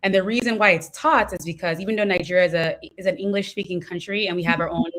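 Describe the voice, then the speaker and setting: Reflective woman guest, slightly low voice. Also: fairly even intonation, fairly bright tone, poor audio. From California. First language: Igbo. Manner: reflective, measured storyteller. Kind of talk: casual interview chat.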